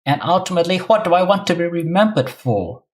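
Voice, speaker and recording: A man's voice: high voice, expressive, brisk. A middle-aged man with a Singaporean accent, answering interview questions conversationally as an academic guest. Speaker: guest, New Testament professor.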